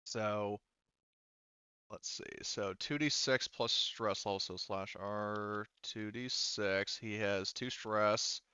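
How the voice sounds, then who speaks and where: A man refereeing a casual tabletop game as game master, halting and thinking aloud as he checks rules. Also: fairly low pitch, steady tone, sharp tone, clear, resonant tone, dry recording, muffled recording.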